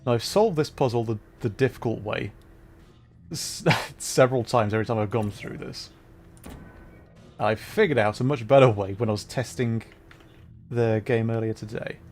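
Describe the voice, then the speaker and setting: A man's voice: medium pitch, moderate pace, long pauses, darkish tone, faint music behind. A man livestreaming a video game, chatting casually to viewers and rambling as he thinks aloud while playing.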